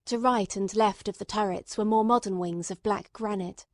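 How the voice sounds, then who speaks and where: A woman's voice: medium pitch, fairly even intonation, moderate pace, no echo, studio quality. A woman reading scripted literary narration as an audiobook narrator.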